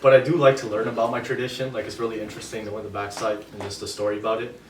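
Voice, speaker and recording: A man's voice: on the low side, level tone, racing. A young man in a casual group discussion, talking in a breezy, slangy way. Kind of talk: casual chat. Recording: roomy, from a distance, faint noise.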